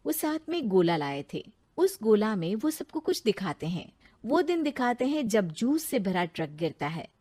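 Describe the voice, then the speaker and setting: A woman's voice: mid-range voice, big pitch swings, moderate pace, warm tone. A woman storyteller delivering scripted narration of a moral tale.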